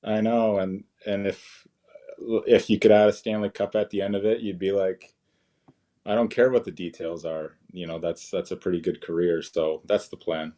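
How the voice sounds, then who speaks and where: A man, a professional hockey player, answering interview questions in a relaxed, modest way. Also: low-pitched, normal speed. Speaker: guest, pro hockey player.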